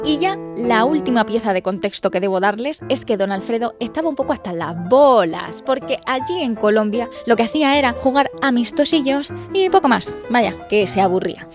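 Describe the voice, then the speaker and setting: A woman's voice: on the high side, lively intonation, a little fast, few pauses. A woman hosting a scripted, humorous radio story, chatting directly to the listeners. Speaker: host and storyteller. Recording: music playing behind voice.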